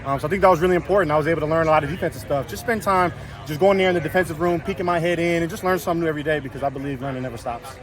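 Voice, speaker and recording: A young man, a college offensive lineman with a loud, carrying voice, answering interview questions outdoors after practice in a rapid, repetitive way full of fillers. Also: on the high side. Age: young adult. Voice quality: loud voice that carries. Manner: rapid, filler-heavy, repeats words. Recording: outdoor media scrum with crowd chatter.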